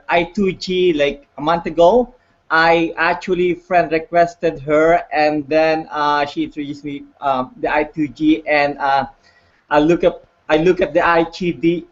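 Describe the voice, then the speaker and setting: A man's voice: high voice, muffled recording. A man with a Filipino accent who speaks English as a second language. He talks hesitantly on a video call, full of fillers and restarts. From Philippines. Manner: halting, many fillers and restarts. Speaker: guest on online call. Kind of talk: casual hesitant testimony.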